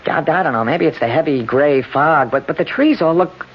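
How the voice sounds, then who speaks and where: An actor playing a nervous but gallant lost young man, slangy and halting, in 1970s radio drama style. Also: on the high side, natural voice. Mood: uneasy. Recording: dull, noisy old radio broadcast recording.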